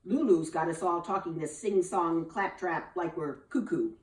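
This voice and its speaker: A woman's voice: fairly low pitch, normal speed, recorded from a distance, natural voice. A woman voicing a fussy, blustery middle-aged male school principal. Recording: roomy, from off the mic.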